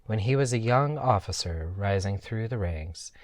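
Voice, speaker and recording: A man's voice: fairly low pitch, animated, normal speed, no room sound. A man hosting a podcast and reading fan fiction aloud in a scripted narration style. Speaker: podcast host and story reader.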